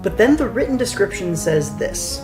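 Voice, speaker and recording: A woman's voice: medium pitch, very animated, normal speed. A woman filmmaker giving a scripted behind-the-scenes narration about their own work. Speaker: writer and filmmaker. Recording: voice over clear background music. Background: music.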